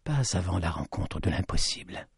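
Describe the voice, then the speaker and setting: A man voicing a mysterious mountain woman in a low, hushed, grave voice while reading his storybook aloud. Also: animated, moderate pace, vocal fry, slightly rough, no echo, studio quality, heavily acted.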